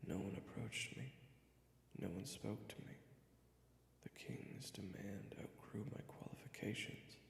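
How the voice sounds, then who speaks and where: A man playing an impatient, burdened young royal in an audio drama, intense and dramatic, with a breathy, creaky voice. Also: lowish voice, a little slow. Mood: haunted, despairing. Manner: intense, dramatic, swings between shouts and hushed murmurs. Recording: echoey hall reverb, faint music underneath.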